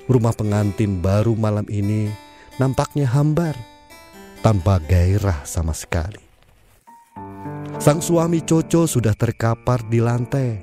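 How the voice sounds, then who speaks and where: Mellow low-voiced male narrator. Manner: measured storytelling. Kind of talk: scripted audio drama narration. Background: faint music.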